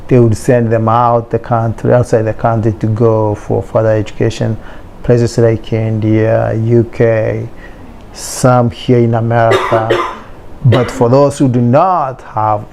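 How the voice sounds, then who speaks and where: A man with a dark, mellow tone explains things patiently. He often hesitates on fillers but keeps an earnest, steady delivery. His pitch stays mid-range. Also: vocal fry, a little hiss or hum. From Kenya. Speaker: interviewee. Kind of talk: explanatory interview talk.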